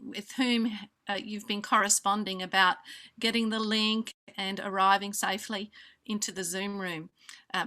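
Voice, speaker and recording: Woman, Australian accent, conference host, bright tone, halting with fillers, video call. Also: mid-range voice. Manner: warm hesitant introductions with many fillers. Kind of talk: welcoming remarks on a video call.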